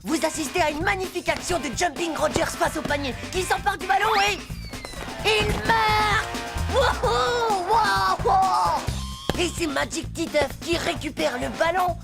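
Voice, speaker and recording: Cocky little cartoon boy, high-pitched, gravelly edge, slangy, dramatic, emphatic. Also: a little slow, exaggerated voice. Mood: excited. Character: mouthy, dramatic schoolboy, cocky and easily exasperated. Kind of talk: French cartoon voice acting. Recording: music behind the voice.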